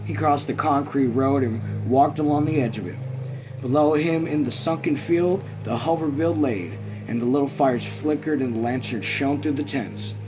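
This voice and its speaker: A man's voice: mid-range voice, moderate pace, music in the background, poor audio. A man hosting a read-along, reading a novel aloud informally and breaking off to comment. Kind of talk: casual audiobook reading with asides.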